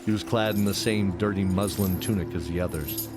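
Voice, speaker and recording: A man's voice: low-pitched, fairly even intonation, brisk. A man narrating a scripted fantasy audio drama. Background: loud music.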